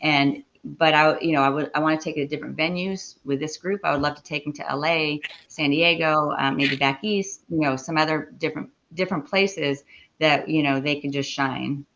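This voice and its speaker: A woman speaks in halting bursts, filling gaps with uh and um. Her voice is bright and sharp. The recording is a little muffled. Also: deep voice, steady tone. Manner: halting, many fillers. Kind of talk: casual interview.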